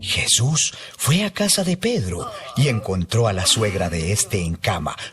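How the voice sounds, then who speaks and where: A man narrates a dramatized Bible story in a measured way. His voice sits fairly low and moves expressively. Music is clearly heard behind him. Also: huge pitch swings.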